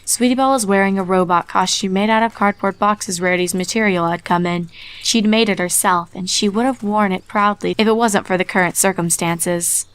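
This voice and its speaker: A woman narrates a dark fan story at a mid pitch. She reads in a measured way and drops to a hush for the eerie parts. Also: steady tone, clear, resonant tone. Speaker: reader.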